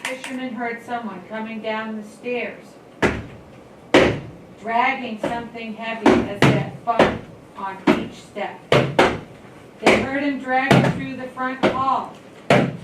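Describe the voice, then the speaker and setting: A woman's voice: a little high, fairly even intonation, takes it slowly, long pauses. A woman reading a scary story aloud as scripted narration. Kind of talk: slow spooky story reading. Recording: very noisy, echoey, distant microphone.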